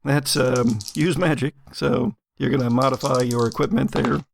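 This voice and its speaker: A man running a horror tabletop roleplaying game as game master, narrating descriptively with drawn-out emphasis. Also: mid-range voice, fairly even intonation, creaky, a little hiss or hum.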